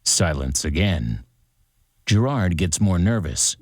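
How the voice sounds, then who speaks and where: An actor playing a theatrical true-crime show host, narrating in short, deliberate sentences with suspenseful pauses. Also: male voice, low-pitched, very animated, darkish tone, no room sound, studio quality, full character voice. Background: music.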